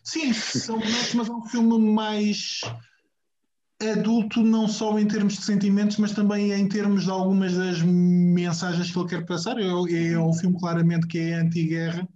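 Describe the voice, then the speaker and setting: A man's voice: high-pitched, completely flat delivery, normal speed, mellow. A man, co-hosting a casual podcast chat and recommending a film, talking with many fillers and restarts. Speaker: podcast co-host. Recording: echoey, muffled room with faint noise.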